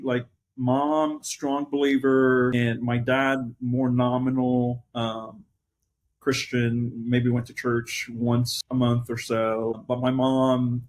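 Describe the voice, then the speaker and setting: A man from Colombia and New Jersey, a pastor, sharing his personal story conversationally as a guest, reflective and pausing to search for words. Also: medium pitch.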